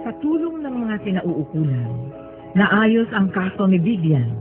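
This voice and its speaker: High-pitched, animated male radio drama narrator. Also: measured pace. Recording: thin phone-like radio sound, prominent music.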